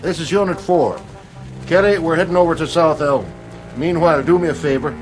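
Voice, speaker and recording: A man's voice: on the high side, brisk, faint music behind, natural voice, rough recording. An actor in a radio drama playing a stern, by-the-book policeman with a curt and condescending manner. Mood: businesslike. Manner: firm, curt, patronizing.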